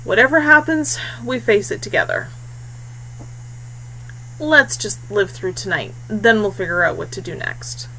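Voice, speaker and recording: Woman playing young male warrior, calm, watchful, wry, practical, medium pitch, storybook dialogue, faint noise. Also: unhurried, pauses often, low-quality recording.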